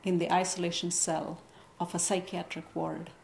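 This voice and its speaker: A woman from India, a guest on a TV interview, explaining her views in an articulate, measured way. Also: lowish voice, little pitch movement, gravelly, darkish tone, roomy sound, a little hiss or hum. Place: TV studio.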